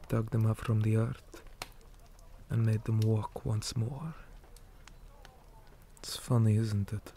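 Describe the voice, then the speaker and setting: Guy doing a tired orc commander for asmr roleplay, mellow dark voice, pretty flat, hushed n deliberate, stern soldier type. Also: lowish voice, a little hiss or hum. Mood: grave, weary. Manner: slow, deliberate, hushed, often dropping to a whisper. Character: weary male orc commander, stern, measured, dutiful soldier. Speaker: interrogator in a roleplay.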